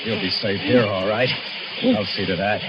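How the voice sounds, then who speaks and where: A man's voice: lowish voice, normal speed, very noisy, lightly acted. An actor playing a guilty, desperate seaman in an old-time radio thriller, hesitant, agitated and intense. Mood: cold menace. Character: guilty, desperate seaman turned killer and diver. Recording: muffled old radio recording with music.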